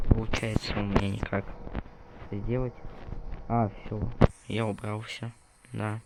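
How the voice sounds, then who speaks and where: A man's voice: fairly low pitch, creaky, a little hiss or hum, low-quality recording. A young man casually commenting on his gameplay, rambling and correcting himself as he talks to viewers, recovering from a cough. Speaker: gaming video creator.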